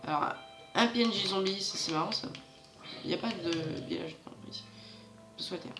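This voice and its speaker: A man's voice: high-pitched, fairly quick, gravelly, echoey room, over music. A man giving casual, rambling let's-play commentary straight to his viewers, with a stuffy nose from hay fever. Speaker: gaming channel host.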